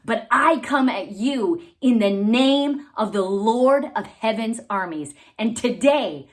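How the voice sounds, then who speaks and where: A woman's voice: a little high, lively intonation, slow. Brave little shepherd boy, lady doing the voice, earnest and sure. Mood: determined. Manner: earnest, confident.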